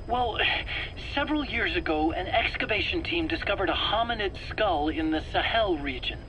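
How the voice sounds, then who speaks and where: A nervous male scientist talks in a high voice, eager to explain and justify himself. He sounds earnest and lecturing. The video game audio log carries faint tape noise. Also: lively intonation, normal speed, pushed and bright. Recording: noisy cassette-tape style recording.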